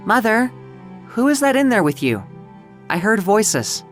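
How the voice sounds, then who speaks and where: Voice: mid-range voice, very animated, a little slow, faint music behind, pitched above the performer's own voice. A performer voicing a worried son calling out urgently to his mother in an audio drama.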